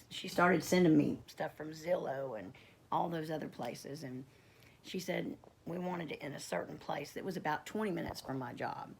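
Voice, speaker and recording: A middle-aged woman speaks low and croaky, her voice full of gravelly fry. She tells her story casually and rambles, stopping often with fillers and restarts. A faint hiss of noise sits under the recording. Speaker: homestead vlogger. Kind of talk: casual personal testimony.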